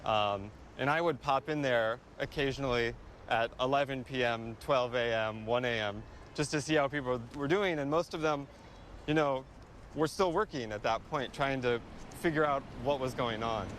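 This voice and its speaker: A man's voice: medium pitch, moderate pace, a bit bright, slight background noise. A man, a university teacher, speaking in an easygoing interview with frequent ums.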